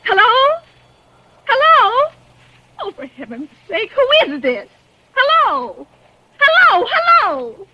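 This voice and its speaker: A frantic bedridden invalid. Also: female voice, very high voice, huge pitch swings, takes it slowly, pauses often, a little hiss or hum, exaggerated voice. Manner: stammering, frantic, petulant. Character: nervous bedridden invalid woman, fretful and demanding. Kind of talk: 1940s radio acting. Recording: lo-fi vintage radio recording.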